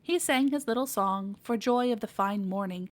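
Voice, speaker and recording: A woman's voice: medium pitch, normal speed. A woman narrating a children's storybook as a read-aloud podcast reader.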